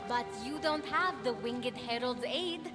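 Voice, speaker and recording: A very high, animated young heroine for a video game. She is plucky and earnest, an admiring little sister at heart. Loud music plays behind her. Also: unhurried. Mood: hesitant, doubtful. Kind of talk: video game cutscene acting.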